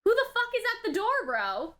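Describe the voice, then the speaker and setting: A woman's voice: very high-pitched, wildly swooping pitch, moderate pace, fairly bright tone. A woman giving a casual live reaction commentary, exclamatory and slangy, thinking aloud as she goes. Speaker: reaction video host.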